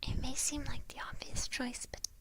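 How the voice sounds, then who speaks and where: A woman's voice: high-pitched, flat delivery, moderate pace, pushed and bright, full character voice. Woman as a whispering, playful devilish witch. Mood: coaxing, fond. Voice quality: breathy whisper. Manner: giggly, teasing, coaxing. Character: giggly, playful, devilish young female witch. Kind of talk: whispered ASMR roleplay.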